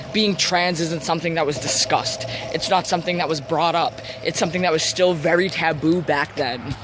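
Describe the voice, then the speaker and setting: Higher-pitched young man over loud traffic noise. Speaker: vlogger. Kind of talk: personal confessional vlog.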